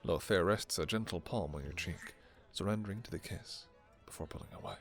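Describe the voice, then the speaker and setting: A man's voice: low-pitched, a little fast, a bit bright, soft background music. A man giving scripted second-person narration for a romantic fantasy story.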